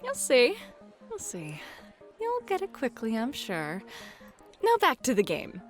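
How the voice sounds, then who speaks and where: A woman narrating a fantasy roleplay as game master, in a playful, teasing, expressive manner. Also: fairly high pitch, a little slow, soft background music.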